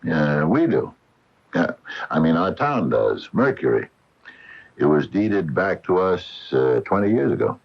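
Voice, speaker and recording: An actor playing a gruff, folksy American hardware store owner, wary and emphatic, in a radio drama. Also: male voice, sits low, big pitch swings, measured pace, full character voice. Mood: amused, dismissive. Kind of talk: 1980 radio drama acting. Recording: dull lo-fi 1980 radio broadcast.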